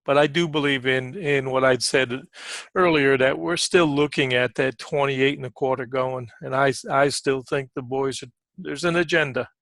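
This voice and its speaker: A man giving informal live market commentary as a trading coach, conversational and repetitive for emphasis, often clearing his throat. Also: mid-range voice, fairly even intonation, moderate pace, fairly bright tone, dry recording.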